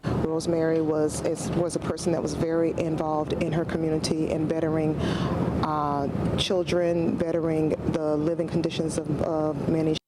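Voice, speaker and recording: Low, flat-voiced woman outdoors in loud noise. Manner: halting, searching for words. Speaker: victim's niece. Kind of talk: news interview soundbite.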